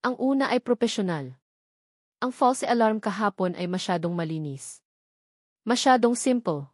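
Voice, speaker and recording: A woman's voice: medium pitch, animated, normal speed, a little mellow, dry recording, clean studio sound. A woman giving scripted, dramatic narration of a story as its narrator.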